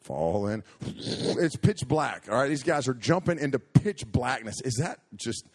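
A man tells a story from the pulpit in an average-pitched voice. He is animated and jokey, breaks off into asides and interrupts himself, then dives back into the tale. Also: unhurried, breathy edge. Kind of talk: storytelling sermon with humorous asides.

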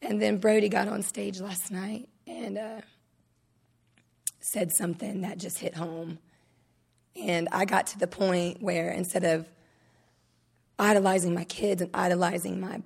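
Woman w a husky gravelly voice telling her story, halting and emotional, onstage. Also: on the low side, darkish tone. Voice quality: raspy. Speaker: conference speaker giving testimony. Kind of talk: personal testimony talk. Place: conference stage.

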